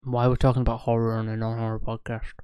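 Smooth-voiced sardonic man. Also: mid-range voice, monotone, very fast, mellow, no room sound. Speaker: podcast co-host. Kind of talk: casual chat.

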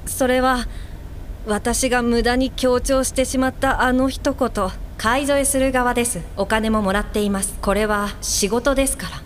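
A woman playing an earnest guide helper with a high voice, in an audio drama. She answers firmly and narrates her own doubts in a musing way. Faint noise sits behind her. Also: fairly even intonation, moderate pace, close to an everyday voice. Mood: pensive, regretful. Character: earnest, self-reflective woman working as a blind man's guide helper. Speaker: lead actor and narrator. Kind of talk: audio drama acting with first-person narration.

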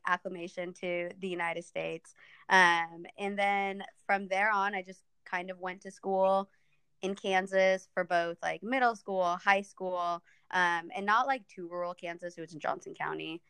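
A woman's voice: mid-range voice, fairly even intonation, unhurried, a bit bright, clear, resonant tone, no room sound. A young woman from Ethiopia, a podcast guest chatting casually and thoughtfully, with frequent fillers.

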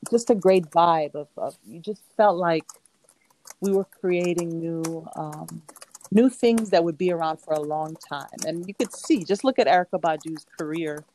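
Low-voiced reflective woman poet. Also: normal speed, mellow, slight background noise, poor audio. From New York (Queens). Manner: reflective, rambling, laughs often. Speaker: poet and performing artist; guest. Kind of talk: casual conversational interview.

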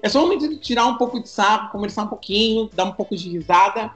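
A man's voice: high voice, animated, quick pace, a bit bright, echoey room, soft background music. A man leading a podcast episode in a joking, teasing way. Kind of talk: casual podcast chat.

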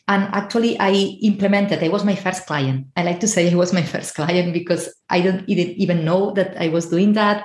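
Spanish woman in her 40s chatting on a podcast, lowish voice, echoey room. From Spain. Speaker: podcast guest, travel and life coach. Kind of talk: conversational personal storytelling. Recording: roomy, echoey sound.

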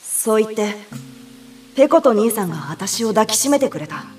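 A woman's voice: a little high, sing-song, normal speed, darkish tone, soft background music. A woman playing an earnest, dutiful young girl who blames herself, in an audio drama. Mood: tender relief. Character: young girl, dutiful, anxious, self-blaming lantern bearer. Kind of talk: Japanese audio drama acting.

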